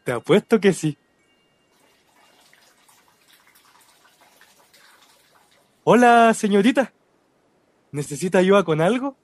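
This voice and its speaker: A man's voice: high voice, lively intonation, moderate pace, no room sound. A man with a Chilean accent acting in a radio drama as a respectful, superstitious cemetery worker. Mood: eager, smitten. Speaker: actor.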